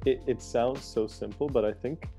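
A young man from Canada hosts the interview, his voice low and gravelly with a mellow, dark tone. He talks relaxed and friendly, with plenty of fillers, over an earphone mic in a home room. Faint music sits behind him. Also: moderate pace. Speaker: host, co-editor of a literary journal. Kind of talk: casual interview over video call. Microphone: earphone mic on video call.

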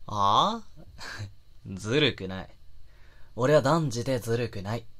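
A man playing a gruff but awkwardly caring young boyfriend in an intimate voice drama, with blunt, teasing phrasing and soft breathy asides. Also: medium pitch, animated, slow. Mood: grumbling, exasperated. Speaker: online voice actor. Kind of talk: intimate Japanese situation voice drama, boyfriend role-play.

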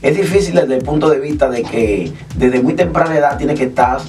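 A man's voice: mid-range voice, animated, brisk, a little mellow, distant mic, soft background music. Earnest Cuban man on studio interview. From Cuba. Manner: earnest, explanatory, sings gospel lines. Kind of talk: radio interview. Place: small radio studio. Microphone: studio mic with headphones.

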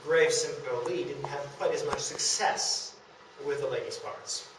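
A man's voice: mid-range voice, measured pace. Man lecturing in a very echoey room. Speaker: presenter giving a history talk. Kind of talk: public lecture with slides, storytelling. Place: small indoor lecture room at a historic house. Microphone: camera a few metres away. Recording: distant camera mic, very echoey room, faint noise.

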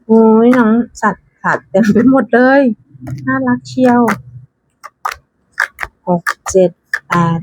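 A woman talks casually through an unboxing. Her voice is fairly low and husky, and she takes her time, thinking aloud with little exclamations and English terms mixed in. The sound is dry. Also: no echo, low-quality recording. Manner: mixes English card names into her talk. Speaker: tarot deck reviewer.

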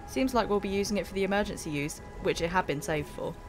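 A woman's voice: mid-range voice, fast, close to an everyday voice. Earnest young woman student explorer acted, music behind. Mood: worried. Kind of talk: audio-drama dialogue.

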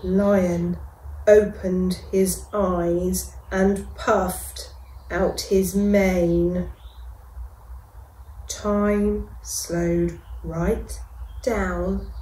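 A middle-aged woman, a reception class teacher, reading a picture book aloud to children with gentle, expressive delivery and dramatic pauses. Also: lowish voice. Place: school classroom, indoors. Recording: echoey classroom.

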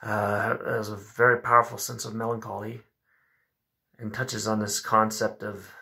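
Man in his thirties, gravelly, bright forceful tone, reflective, poetry host at home. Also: lowish voice. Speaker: host of a poetry reading video. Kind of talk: poetry recitation and casual commentary. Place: small room at home with a bookshelf, indoors.

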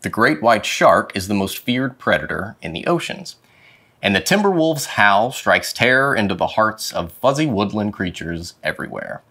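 A man reading a children's picture book aloud with dramatic, expressive phrasing. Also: on the low side, moderate pace. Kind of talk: expressive storybook read-aloud. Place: indoor studio with plain dark backdrop.

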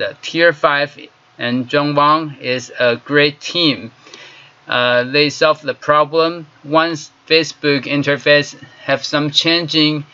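Slow Taiwanese-accented man, halting English. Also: medium pitch, bright, forceful tone, muffled recording. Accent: Taiwanese Mandarin. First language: Chinese. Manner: halting, with many "uh" pauses and simple English. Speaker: marketing consultant. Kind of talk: product testimonial.

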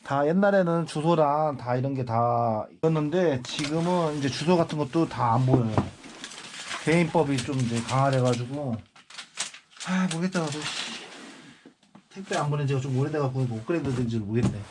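A man in his forties talks to his viewers while packing parcels, rambling from one thought to the next and sighing to himself. His voice sits a bit high for a man. A steady noise runs behind him. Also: a little fast. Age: 40s. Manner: rambling, musing aloud, lots of sighing asides. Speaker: small online seller. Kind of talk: casual vlog chat while packing parcels. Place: indoor work space packing parcels.

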